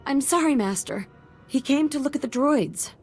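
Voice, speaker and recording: An actress playing an anxious young servant in a video game, deferential, guarded and easily flustered. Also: female voice, high-pitched, animated, moderate pace, darkish tone, soft background music. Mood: distressed.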